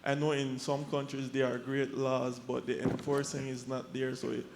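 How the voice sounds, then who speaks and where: A man from Belize speaks from the audience. His pitch is average, his phrasing unscripted, and the hall rings around his voice. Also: level tone, normal speed, gravelly, a little hiss or hum. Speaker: audience questioner. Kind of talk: spontaneous question to a panel. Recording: reverberant hall sound.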